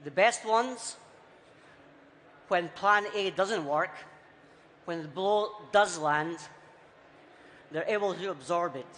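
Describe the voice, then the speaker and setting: A man with a Scottish accent softened by years abroad, giving a punchy, rhetorical conference talk in short, repeated phrases. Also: high-pitched, takes it slowly, pauses often. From Scotland. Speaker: conference speaker. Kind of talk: keynote talk on stage. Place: large conference hall. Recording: reverberant hall, faint background noise.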